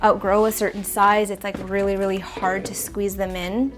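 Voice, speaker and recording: Woman, stuffy nose, chatty and rambling, self-correcting, houseplant vlog, soft music behind. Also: medium pitch, little pitch movement, normal speed. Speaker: plant vlogger. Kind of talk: casual chat while rearranging plants. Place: apartment plant room.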